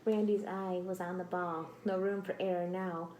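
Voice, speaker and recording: A woman reading a picture book aloud to children like a teacher, expressive and dramatic, with exclamations and prompting questions. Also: lowish voice, moderate pace, slight background noise. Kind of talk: read-aloud storytelling with questions to young listeners.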